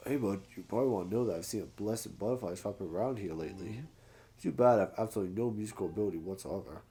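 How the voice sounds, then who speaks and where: A man voicing an excitable, chatty Goron researcher from a video game, full of exclamations and buddy talk. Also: fairly low pitch. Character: excitable, chummy Goron researcher of ancient ruins.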